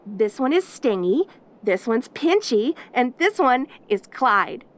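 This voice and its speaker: A woman's voice: on the high side, very animated, slow pace. A woman voicing a perky, lonely caged demon girl in an intimate audio roleplay, with an eager, rambling, stammering manner. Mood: chatty, wistful. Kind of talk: audio roleplay acting, addressing the listener intimately.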